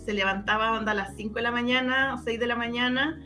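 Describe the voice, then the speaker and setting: A woman's voice: on the high side, fairly even intonation, quick pace, sharp tone, soft background music. A middle-aged woman with a Chilean accent from the O'Higgins region, reminiscing casually as an interview guest, often repeating her words as she tells stories.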